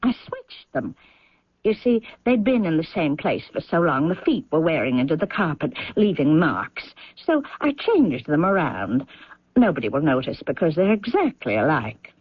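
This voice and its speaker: Low-voiced, deferential, anxious housekeeper. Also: female voice, big pitch swings, normal speed, slightly rough, no echo, heavily acted. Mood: nervous, confessing. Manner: hesitant, apologetic, earnest. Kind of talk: radio drama acting. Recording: dull vintage radio drama recording.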